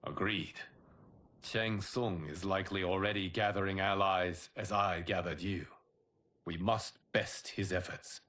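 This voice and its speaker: An actor playing a resolute, heroic leader in a video game, speaking formally and with command. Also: male voice, low-pitched, steady tone, a little slow, fairly bright tone. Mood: solemn. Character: solemn heroic male leader, resolute protector. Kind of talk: dramatic video game acting. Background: dramatic music.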